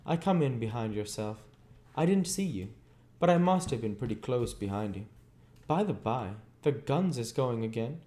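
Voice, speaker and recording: A man acts out a sullen village labourer from a storybook, his voice lifted well above the reader's low register. He talks in gruff, terse rustic phrases, with a surly temper. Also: animated, normal speed, hardly any pauses, warm tone, lightly acted. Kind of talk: storybook character voice.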